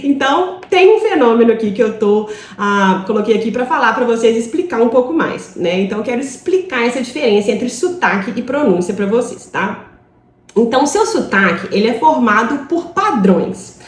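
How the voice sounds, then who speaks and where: A woman's voice: a little high, sing-song, moderate pace, reverberant. A woman from Brazil teaching an online English class, explaining step by step and checking in with her students.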